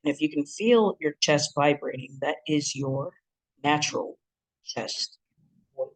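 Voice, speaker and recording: A relationship educator with a deep, booming chest voice, giving a lively instructional talk. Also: measured pace, poor audio. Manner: lively, breaks into acted quotes and laughs.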